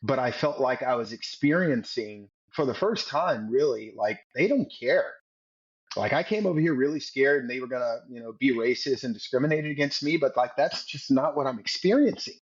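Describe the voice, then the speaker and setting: A man in his forties with a husky, slightly breathy voice tells a personal story as a podcast guest. He speaks earnestly and reflectively, often repeating a word while he finds the next thought. The recording sounds dull and lo-fi. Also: mid-range voice, animated, moderate pace. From United States. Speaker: podcast guest, former Navy SEAL.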